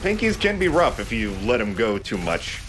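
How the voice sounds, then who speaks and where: A man's voice: mid-range voice, sing-song, moderate pace. A man giving casual, conversational video game commentary as a let's play host, explaining as he goes. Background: game music.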